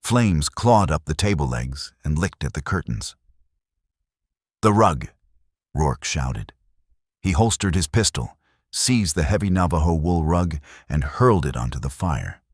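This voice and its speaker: A man's voice: a bass voice, expressive, moderate pace, a little mellow, breathy edge, dry recording, clean studio sound. A man narrating a dramatic Western tale as a scripted storyteller.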